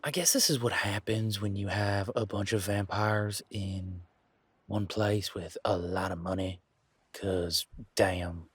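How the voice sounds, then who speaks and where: A man playing a laid-back, wry werewolf in an intimate one-sided audio roleplay, talking in a casual, folksy, teasing way with a husky voice. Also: lowish voice, fairly even intonation, slow pace, a bit bright, no echo, full character voice. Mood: impressed.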